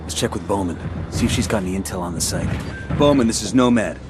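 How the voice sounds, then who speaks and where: An actor playing a decisive special forces squad leader in a video game, giving clipped orders. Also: male voice, fairly low pitch, quick pace, noisy background, close to an everyday voice. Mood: focused. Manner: clipped, commanding radio-style orders.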